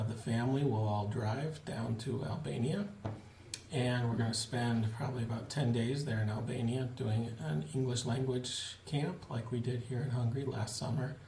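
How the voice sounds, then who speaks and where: A man in his forties giving a relaxed, plain-spoken video update to supporters from a small home office, with frequent fillers. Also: medium pitch, unhurried, a little hiss or hum. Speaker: missionary giving a family update. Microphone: webcam at desk. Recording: roomy webcam audio with faint ambience.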